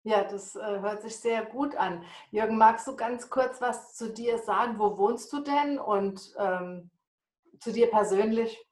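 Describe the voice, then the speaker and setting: A woman's voice: fairly high pitch, measured pace, darkish tone, reverberant. A woman hosting a podcast, introducing and interviewing a guest in a conversational way.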